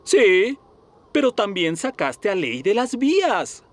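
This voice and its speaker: A performer voicing a calm, helpful, instructive talking steam locomotive in a Latin American Spanish cartoon dub. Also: medium pitch, slow pace. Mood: patient, instructive. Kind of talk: dubbed children's cartoon acting.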